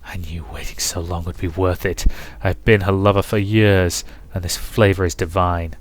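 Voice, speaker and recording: Man voicing a hushed, ravenous male incubus lover. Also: low-pitched, steady tone, moderate pace, no room sound, natural voice. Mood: ravenous, savouring. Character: seductive ravenous male incubus, breathy, gloating, obsessive lover. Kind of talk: dramatic visual novel reading.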